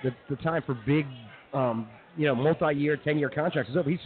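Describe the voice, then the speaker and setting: Sports co-host: American man, quick and fairly high-voiced, colloquial, narrow phone-like broadcast sound. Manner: colloquial, opinionated. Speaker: radio co-host and baseball commentator. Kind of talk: casual sports chat. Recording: tinny band-limited live broadcast.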